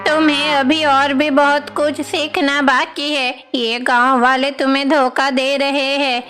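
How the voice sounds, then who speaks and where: A woman's voice: high-pitched, level tone, measured pace, fairly bright tone, soft background music. A woman voicing a concerned village grandmother who scolds and questions as she advises, in a cartoon story. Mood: scolding concern. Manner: admonishing, questioning.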